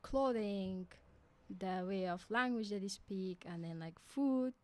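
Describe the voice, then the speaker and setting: A woman's voice: medium pitch, takes it slowly, darkish tone. Young woman with an Afghan accent. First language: Hazaragi. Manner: hesitant, many fillers like "like" and "kinda". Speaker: guest. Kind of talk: casual interview answers. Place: radio studio by a window. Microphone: boom mic with headphones.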